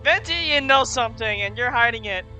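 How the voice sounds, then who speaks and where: Halting gamer commenting over loud music. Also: medium pitch, animated, rough recording. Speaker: game streamer. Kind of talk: casual off-the-cuff gameplay commentary.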